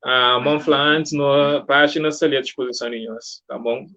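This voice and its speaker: A man's voice: mid-range voice, steady tone, brisk, bright, forceful tone. A man hosts a casual live interview, reading out viewer comments in an encouraging, appreciative way. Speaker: live-stream interview host. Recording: muffled lo-fi online stream.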